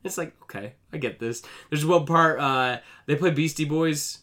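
A man with a bright, forceful voice pitched a little high, co-hosting a podcast. He talks in a casual, conversational way, hedging with frequent "like" and "I mean". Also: normal speed. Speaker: podcast co-host. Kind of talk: casual chat.

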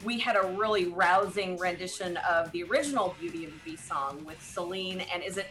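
A woman's voice: fairly low pitch, animated, brisk, fairly bright tone, faint music behind. A woman co-hosting an opera talk show, chatting in a playful, bubbly way. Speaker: co-host. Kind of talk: casual chat.

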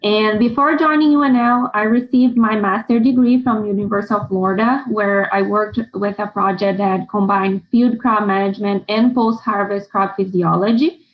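A woman's voice: medium pitch, steady tone, moderate pace, highs cut off. A young woman from Brazil, a PhD student, introducing her research in an earnest, explanatory way. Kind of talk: self-introduction in interview.

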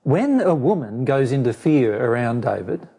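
A man's voice: medium pitch, big pitch swings, gravelly, dark tone, slightly rough. A man losing his voice, explaining a personal question at length and restarting his phrases as he goes. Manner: measured, repeats and restarts phrases. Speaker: spiritual teacher answering a question. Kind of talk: explaining to a listener.

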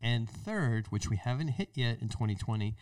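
A man's voice: mid-range voice, big pitch swings, normal speed, a little mellow, dry recording, recorded from a distance. An American man hosting a show, giving explanatory commentary in a colloquial, emphatic way, repeating phrases for stress. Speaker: podcast host and solar business owner.

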